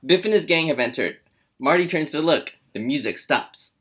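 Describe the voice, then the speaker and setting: A man's voice: on the high side, animated, moderate pace, fairly bright tone, slightly rough. A man hosting a comedy table read and narrating the screenplay's scene directions. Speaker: host and narrator.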